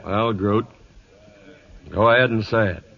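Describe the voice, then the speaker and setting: A tough trail boss speaks low, with clipped American authority. He is stern and commanding, heard on a dull old radio western broadcast. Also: steady tone, a little slow, gravelly. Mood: hard resolve. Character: hard, commanding middle-aged cattle boss. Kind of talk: 1940s radio western acting.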